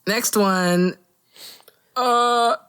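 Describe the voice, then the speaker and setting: A woman's voice: on the high side, very slow, fairly bright tone, smooth. A woman co-hosting a podcast, chatting casually about movies in a blunt, profanity-laced way. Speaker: podcast co-host.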